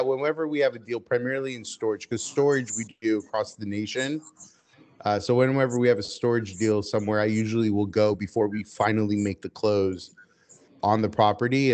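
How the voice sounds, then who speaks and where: A fairly low-pitched man whose first language was Hebrew speaks in a measured, even way as an interview guest. He pauses on "uh" often but keeps a calm, businesslike flow. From United States. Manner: measured, steady, frequent "uh" fillers. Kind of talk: casual interview answers.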